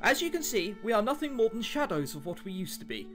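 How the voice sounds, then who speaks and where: A man's voice: high voice, very animated, fast talker, pitched above the performer's own voice. A man voicing a friendly, reassuring female shadow ghost in a visual novel, with music behind. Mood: gently reassuring. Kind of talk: visual novel dialogue reading.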